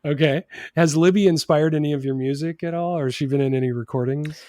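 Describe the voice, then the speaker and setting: A man's voice: on the high side, fast talker, mellow, dry recording, clean studio sound. Jokey man hosting a podcast. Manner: jokey, friendly, laughs at his own jokes. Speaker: podcast host. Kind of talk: casual interview and show outro.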